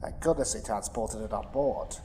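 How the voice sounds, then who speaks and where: A man's voice: on the low side, moderate pace, a little mellow, slight background noise, natural voice. A man playing a strict, cautious starship chief in a sci-fi audio drama, curt and precise in speech.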